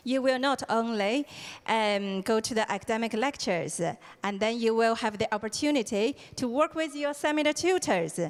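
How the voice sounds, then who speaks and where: A woman's voice: fairly high pitch, normal speed. A woman organizing a program, giving an opening ceremony address with frequent hesitations and fillers. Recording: hall reverb.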